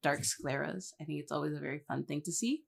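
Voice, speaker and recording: A woman's voice: deep voice, lively intonation, fast, creaky, husky. A woman chatting casually as a podcast guest, rambling a little as she thinks aloud. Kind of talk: casual chat.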